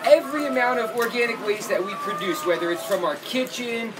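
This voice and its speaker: A man's voice: very high voice, animated, a little fast, noisy background. A young man outdoors on a farm, steadily and methodically explaining how it works, recorded by a camera a few meters away. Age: young adult. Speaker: farmer giving a tour. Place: outdoors on a farm among gardens and animal pens.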